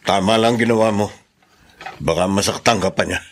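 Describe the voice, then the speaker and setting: A man playing a middle-aged father who dotes on his partner in a Filipino radio drama, questioning and animated. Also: lowish voice, normal speed, slight background noise, natural voice. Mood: surprised, agreeable.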